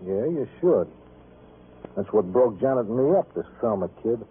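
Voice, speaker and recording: An American actor playing a bitter, evasive, tired night-shift worker, speaking in short sardonic phrases in old-time radio drama style, with a husky voice. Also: male voice, medium pitch, animated, normal speed, slight background noise, natural voice. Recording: tinny, band-limited vintage radio recording.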